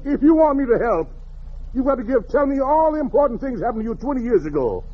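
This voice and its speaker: A man's voice: very high voice, sing-song, fast talker. A man playing a pompous, formal psychiatrist in a 1940s radio comedy, fond of long words and easily exasperated. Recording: muffled vintage radio recording, noisy audience.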